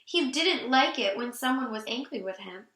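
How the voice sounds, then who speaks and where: A woman reading a children's storybook aloud in an animated, expressive way for young listeners. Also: fairly high pitch, normal speed, reverberant.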